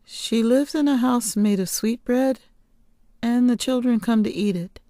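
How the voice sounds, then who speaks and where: A woman's voice: a little high, measured pace, dark tone, no room sound, clean studio sound, pitched above the performer's own voice, lightly acted. A woman voicing a blunt, earnest nine-year-old girl in a storybook, with an artless way of talking. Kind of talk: storybook character reading.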